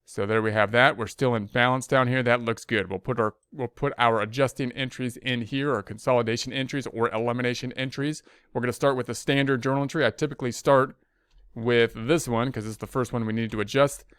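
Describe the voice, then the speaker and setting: A man's voice: mid-range voice, fairly even intonation, a little fast, a bit bright, smooth, dry recording. A man teaching an accounting lesson over a spreadsheet screen recording, thinking aloud with frequent restarts and fillers. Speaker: accounting instructor.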